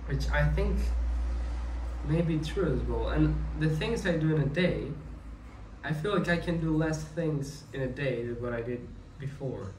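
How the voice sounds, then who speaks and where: A man's voice: fairly high pitch, unhurried, darkish tone, distant mic. A young man around twenty talking through his thoughts in a casual, rambling monologue, often restarting his sentences. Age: young adult. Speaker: vlogger. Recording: echoey room, mic set back, faint ambient noise.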